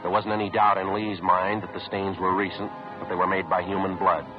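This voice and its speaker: Deep-voiced deadpan actor as a police detective. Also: male voice, fairly quick, over music, natural voice. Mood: matter-of-fact. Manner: deadpan, matter-of-fact. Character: stoic male police detective, terse and methodical. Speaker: narrator and lead actor. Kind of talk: 1950s radio police drama, clipped narration. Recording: muffled vintage radio recording.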